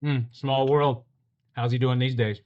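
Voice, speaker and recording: An actor playing a terse police detective who narrates the case in a clipped, matter-of-fact way. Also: male voice, medium pitch, little pitch movement, measured pace, lo-fi sound, lightly acted. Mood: deadpan.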